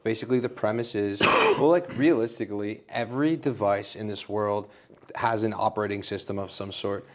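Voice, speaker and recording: Male podcast guest, deeper register, sincere and explanatory, conversational. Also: moderate pace. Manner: earnest, explains with everyday analogies. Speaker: podcast guest, agency founder. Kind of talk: casual conversation.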